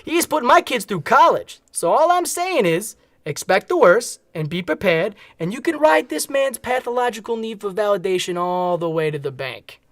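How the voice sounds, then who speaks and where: A veteran supervillain's goon with a high voice in a very dry studio. He is world-weary and streetwise, tossing out wisecracks as he coaches a newbie in a comic radio play. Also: big pitch swings, moderate pace, hardly any pauses, fairly bright tone, dry recording, full character voice. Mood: jaded. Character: jaded veteran henchman mentoring a newbie. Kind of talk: comedy radio play acting.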